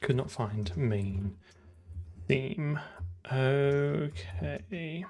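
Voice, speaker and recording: A man's voice: medium pitch, expressive, extremely slow, creaky, mellow. A man thinking aloud as he codes, muttering to himself in fragments over keyboard typing and clicking. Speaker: programmer streamer. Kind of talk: live coding commentary, thinking aloud.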